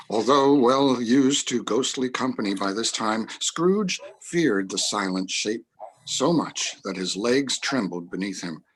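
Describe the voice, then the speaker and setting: Slow, husky man narrating gravely. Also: lowish voice, fairly bright tone, rough recording. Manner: grave, measured, deliberate. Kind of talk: scripted dramatic story narration.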